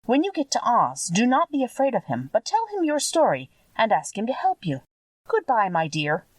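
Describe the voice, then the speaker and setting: A kindly little old witch from a storybook, acted out by a woman, speaks with solemn helpfulness. Her pitch lifts and swoops from phrase to phrase, heard a little way from the microphone in a dry space. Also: huge pitch swings, normal speed, no room sound, distant mic. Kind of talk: audiobook character voice.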